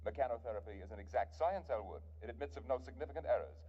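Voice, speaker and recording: An actor playing a pompous mechanical therapist in a 1950s radio drama, speaking in formal, pedantic, clinical sentences. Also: male voice, mid-range voice, animated, racing, breathy edge. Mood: brisk, self-assured. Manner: formal, pedantic, precise delivery. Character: pompous robotic therapy machine, male, clinical and self-assured. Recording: dull vintage radio recording.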